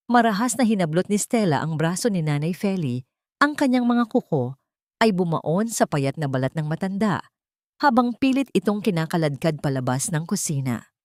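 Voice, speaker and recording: A woman narrator reading a scripted dramatic audio story in a measured, dramatic storytelling manner. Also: on the low side, a little mellow, dry recording, studio quality.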